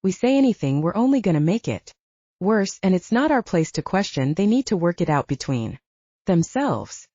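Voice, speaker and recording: A weary, level-headed male squad mate played by a woman narrator, placating and resigned. The voice is mellow and swings in pitch, in a dull-sounding audiobook recording. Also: sing-song, moderate pace, no room sound.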